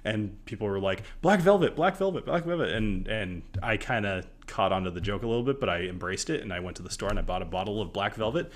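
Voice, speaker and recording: A man's voice: on the low side, fast talker, hardly any pauses. A man in his thirties hosting a live stream in a casual, rambling, jokey conversational way. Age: 30s. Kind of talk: casual chat about whiskey on a live stream.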